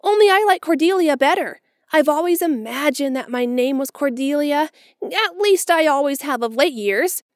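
Storybook orphan girl, very high and emphatic, gushing and tearful. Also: animated, moderate pace, dry recording, clean studio sound. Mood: eager pleading. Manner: breathless, florid, tearful pleading. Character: eager, dramatic orphan girl, about eleven. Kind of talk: audiobook character voice.

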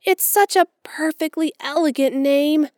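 Storybook orphan girl, very high and emphatic, gushing and tearful. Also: a little slow, darkish tone, dry recording, studio quality. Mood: eager pleading. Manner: breathless, florid, tearful pleading. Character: eager, dramatic orphan girl, about eleven. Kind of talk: audiobook character voice.

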